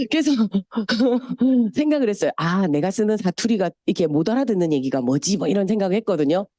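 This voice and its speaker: A woman's voice: medium pitch, expressive, fairly quick, mellow, dry recording. A middle-aged woman from Busan with a Gyeongsang accent, giving a chatty motivational seminar talk and telling stories. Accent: Gyeongsang Korean. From Busan, South Korea. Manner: chatty, storytelling, sometimes mimics voices. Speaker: speaker at a business seminar.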